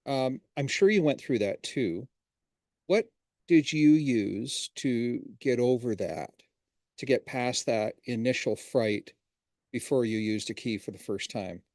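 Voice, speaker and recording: A man's voice: medium pitch, takes it slowly, darkish tone, no room sound, studio quality. A man hosting a casual livestream chat who asks long, rambling questions in a friendly way. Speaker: host.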